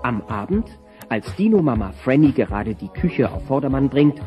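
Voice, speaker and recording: A man narrates an audio story over loud music. His voice is mid-pitched and very lively, in a scripted storytelling style. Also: moderate pace, highs cut off.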